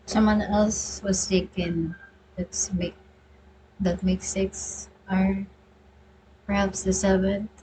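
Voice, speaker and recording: Very slow-paced woman reacting casually. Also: medium pitch, little pitch movement, dark tone, a little hiss or hum, low-quality recording. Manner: slangy, brief interjections. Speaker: streamer reacting to a game. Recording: game music behind voice.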